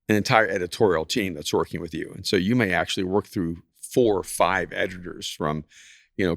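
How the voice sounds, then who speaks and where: Man in his sixties, low and measured. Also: fairly bright tone, no echo, studio quality. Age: 60s. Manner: measured, reflective, laughs easily. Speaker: author and podcast guest. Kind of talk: casual podcast chat.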